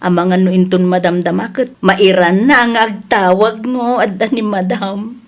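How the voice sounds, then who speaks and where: A woman's voice: medium pitch, normal speed, lightly acted. A woman playing an anxious, nagging housemaid who frets over her co-worker in a comedy radio drama. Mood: worried, scolding.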